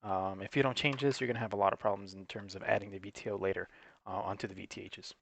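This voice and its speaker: A man's voice: on the low side, fairly even intonation, quick pace, gravelly, a bit bright, slight background noise. A man giving an unscripted, matter-of-fact, step-by-step tutorial in an instructional way. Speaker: technical support presenter.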